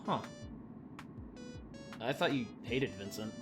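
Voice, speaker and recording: A woman acts out an eager, boyish young man for an audio drama. The voice is very low for a woman and animated, earnest and slightly hesitant, with music clearly underneath. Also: slow, exaggerated voice. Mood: casual, sympathetic.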